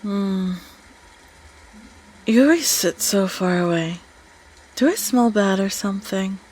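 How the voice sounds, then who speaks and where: Woman acting as a soft, husky, caring friend. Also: mid-range voice. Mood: tender, teasing. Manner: casual, soothing, teasing. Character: caring, playful female friend hosting a cozy evening. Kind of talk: scripted audio roleplay.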